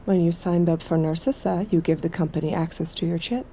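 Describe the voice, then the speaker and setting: Actress as a mellow, creaky, seductive woman. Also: fairly low pitch, fairly even intonation, a little fast, a little hiss or hum, lightly acted. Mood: cool. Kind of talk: immersive audio drama acting.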